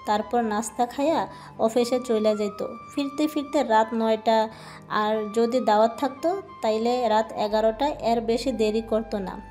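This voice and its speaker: A woman's voice: fairly high pitch, darkish tone, natural voice. Woman acting a chatty Bangladeshi housemaid. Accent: colloquial Bangladeshi. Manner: chatty, homely, deferential. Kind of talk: Bengali romantic audio drama acting.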